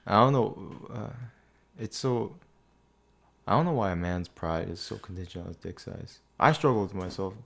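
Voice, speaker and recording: A sleepy young man hosting a podcast, thinking before he speaks, with long pauses and restarted words. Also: deep voice, gravelly. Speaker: podcast host. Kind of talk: casual podcast chat.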